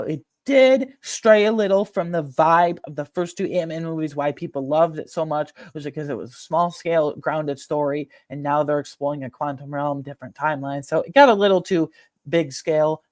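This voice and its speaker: Casual movie-ranking host: mid-pitched, conversational, slangy, emphatic and opinionated, recorded dry. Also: a little mellow, no echo. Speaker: video host giving a film ranking.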